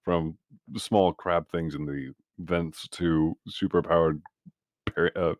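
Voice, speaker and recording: A man's voice: sits low, fairly even intonation, slow, dry recording, studio quality. A man playing a tabletop roleplaying game, talking casually in character with a wry, sardonic manner.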